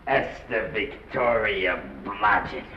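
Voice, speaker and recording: A man's voice: fairly high pitch, wildly swooping pitch, unhurried, exaggerated voice. A man playing a brash, wry studio press agent in an old film, dictating in clipped, declamatory phrases. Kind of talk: 1930s Hollywood film acting. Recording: dull noisy old film soundtrack.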